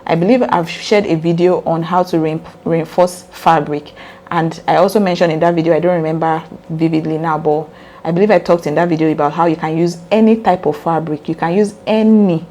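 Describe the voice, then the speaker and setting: A woman with a slightly low, mellow voice that has turned raspy leads a hands-on craft tutorial. She chats earnestly and directly to her viewers while she works. A faint hum sits behind her. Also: fairly even intonation, brisk. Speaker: sewing tutorial host. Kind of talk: casual step-by-step craft tutorial.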